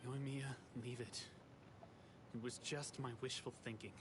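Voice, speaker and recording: A man acts a resigned game swordsman, breathy and weary. His mid-pitched voice stays subdued, with clear noise around it. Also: steady tone, measured pace, close to an everyday voice. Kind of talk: anime game dubbing.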